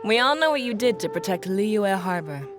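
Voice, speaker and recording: An actress playing an enthusiastic, friendly female sailor in a video game, with an excitable, familiar manner. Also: medium pitch, moderate pace, music in the background, natural voice. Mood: excited, admiring.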